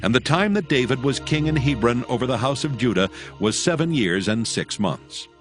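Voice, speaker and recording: A man narrating scripture from a script in a solemn, measured storytelling manner. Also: medium pitch, expressive. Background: music.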